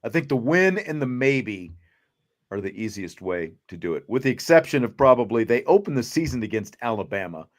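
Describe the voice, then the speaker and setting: A man's voice: mid-range voice, sing-song, fairly quick. Man hosting unscripted sports talk. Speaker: sports talk show host.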